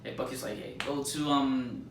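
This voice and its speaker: Echoey, bright-voiced man rambling about films. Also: mid-range voice, fairly even intonation, moderate pace. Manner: rambling, slangy, mildly sweary fan talk. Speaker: movie reviewer. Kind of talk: casual spoken movie review with spoilers. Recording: roomy echo, distant mic, faint noise.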